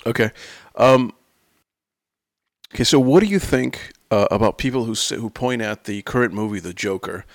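A man's voice: fairly low pitch, creaky, dry recording, studio quality. An American man hosting a casual interview, somewhat hesitant, often repeating and rephrasing himself as he asks questions. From United States. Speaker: interviewer, host.

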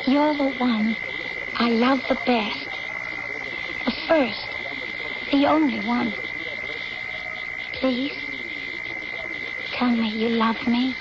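An actress playing an earnest, plaintive young woman just out of high school in an old-time radio drama. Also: fairly even intonation, extremely slow, pauses often. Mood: pleading. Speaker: actress and first-person narrator. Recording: muffled radio recording with heavy noise.

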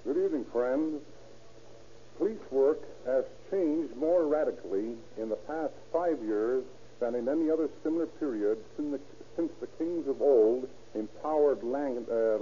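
A man's voice: medium pitch, mellow. An American man, a police captain, stiffly reading a formal scripted address on 1930s radio. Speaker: police captain, guest speaker. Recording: muffled, noisy 1930s radio recording.

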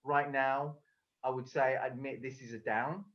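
A man's voice: mid-range voice, fairly even intonation, normal speed, highs cut off. A man from England, a speaker coach, talking casually as a podcast guest over a video call, with many fillers and restarts. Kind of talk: casual conversational interview.

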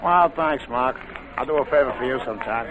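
A man's voice: medium pitch, fairly quick, lightly acted, poor audio. A man playing an evasive, wheedling truck driver in an old-time radio drama, speaking hesitantly. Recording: old radio recording with crowd-like noise.

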